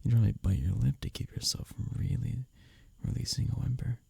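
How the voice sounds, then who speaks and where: A man acting an arrogant, teasing office rival who is secretly smitten, in an intimate audio roleplay, often breathy and near a whisper. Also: mid-range voice, a little mellow, no echo, exaggerated voice. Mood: smug, teasing. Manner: smirking, teasing, halting when flustered. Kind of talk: intimate ASMR audio roleplay addressed to the listener.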